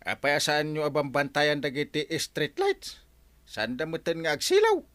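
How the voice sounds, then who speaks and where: Excitable, wildly swooping Ilocano man. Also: on the high side, moderate pace, dry recording. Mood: complaining. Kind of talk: Filipino radio comedy drama acting.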